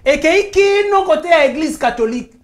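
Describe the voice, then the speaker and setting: A woman with a Congolese French accent pressing her argument on a talk show. Her voice sits high and swings widely in pitch as she insists point by point, slipping into Lingala phrases. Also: lively intonation, moderate pace. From Kinshasa, DR Congo. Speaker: journalist guest. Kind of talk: heated talk-show debate.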